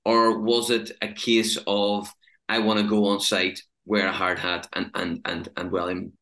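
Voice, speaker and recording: A man in his thirties hosting a podcast interview from a home office. He speaks briskly into a podcast microphone and often repeats words as he restarts. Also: lowish voice, monotone, a bit bright. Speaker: podcast host. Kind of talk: interview questions. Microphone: podcast microphone on video call.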